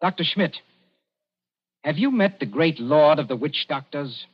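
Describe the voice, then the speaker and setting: Young colonial official, cool and knowing, dry irony, muffled old radio show sound. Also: male voice, a little high, lively intonation, slightly rough. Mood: accusing. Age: young adult. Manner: measured, dryly ironic, knowing. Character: shrewd, composed young British colonial official in Zanzibar. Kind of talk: 1940s radio acting. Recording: dull, muffled 1940s radio recording.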